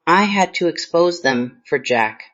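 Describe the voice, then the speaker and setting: A man's voice: on the high side, lively intonation, a little slow, a bit bright. A man playing a grave, fearful investigator mother in a screenplay reading. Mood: pleading, regretful. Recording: slightly dull, lo-fi sound.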